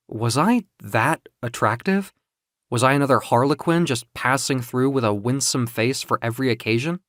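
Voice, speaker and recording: A man's voice: mid-range voice, a bit bright, no room sound, clean studio sound. A man narrating a scripted first-person story in a dramatic, emotive way.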